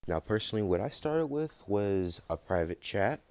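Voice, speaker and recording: A man's voice: fairly low pitch, sing-song, normal speed, darkish tone, no echo. A man giving a casual, chatty game walkthrough, talking informally to his viewers. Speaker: game guide video creator. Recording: music behind the voice. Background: game music and dialogue.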